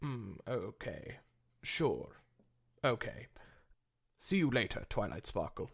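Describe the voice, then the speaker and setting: A man's voice: lowish voice, sing-song, slow, vocal fry, fairly bright tone, husky, full character voice. A man voicing an impatient, skeptical, brash young female pegasus pony with dry, pointed questions.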